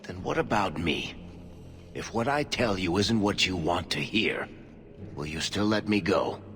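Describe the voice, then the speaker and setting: A man playing a wry, smooth-talking storyteller rogue in a video game, with a conversational delivery. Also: low voice, lively intonation, a little slow. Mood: wry, wary. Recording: loud game music behind.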